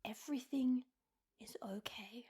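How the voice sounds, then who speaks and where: A woman playing a worried, loving partner in an audio roleplay, with a breathy voice and a gentle, soothing way of talking. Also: fairly high pitch, measured pace. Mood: soothing, reassuring. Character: worried, loving female partner of a haunted assassin.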